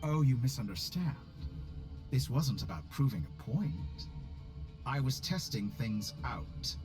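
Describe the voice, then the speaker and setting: An actor playing a smug, coolly polite scheming villain with godlike power in a video game, speaking in a measured, condescending, theatrical way. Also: male voice, medium pitch, big pitch swings, over music. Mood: mock-polite. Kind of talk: dramatic video game voice acting.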